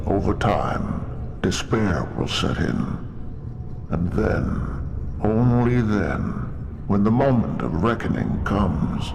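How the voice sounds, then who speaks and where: An actor playing a menacing masked mastermind in a game, taunting with theatrical, deliberate delivery. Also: male voice, fairly low pitch, vocal fry, noisy background, full character voice. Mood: cold threatening. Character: menacing male masked mastermind villain, coldly taunting a prisoner. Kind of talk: video game cutscene acting.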